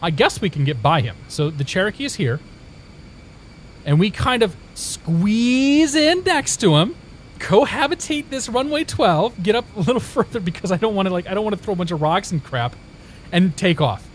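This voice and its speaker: Rambling storyteller man, slightly high voice. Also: sing-song, moderate pace, slight background noise, low-quality recording. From Indiana. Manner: rambling storytelling with many restarts. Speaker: live streamer. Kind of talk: casual chat with viewers.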